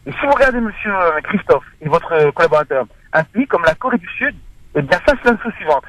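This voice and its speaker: A man's voice: high voice, big pitch swings, fast, background noise. A man calling in to a radio talk show, speaking earnestly and stressing key words over a phone line. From Morocco. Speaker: radio caller.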